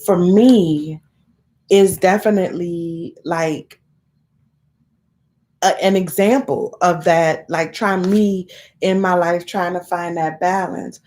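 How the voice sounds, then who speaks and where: A woman's voice: lowish voice, steady tone, slow pace, a little mellow. A woman in her thirties with a congested cold, talking candidly and rambling to viewers on a live stream. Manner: rambling, candid, swears casually. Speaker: vlogger hosting a live stream.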